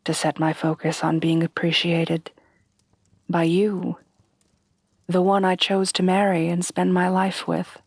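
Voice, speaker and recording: A woman playing a refined, tender headless horsewoman bride in a soft-spoken ASMR roleplay, with formal, genteel phrasing. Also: lowish voice, little pitch movement, a little slow, no echo. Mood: confiding. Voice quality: soft, breathy at times.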